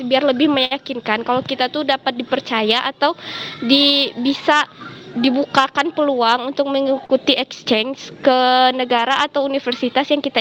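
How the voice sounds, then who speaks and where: A young woman with a high voice answers interview questions as a student guest. She talks in a chatty, explanatory style, with faint noise in the recording. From Jambi, Indonesia. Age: early 20s. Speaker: student guest sharing exchange experience. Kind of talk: casual informative interview answers.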